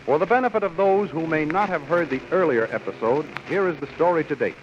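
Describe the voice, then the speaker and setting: A dull, slightly hissy vintage radio recording carries an American man announcing an adventure serial. His voice sits a little high and he declaims each phrase formally and dramatically, in the grand manner of 1930s radio. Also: animated, fast talker.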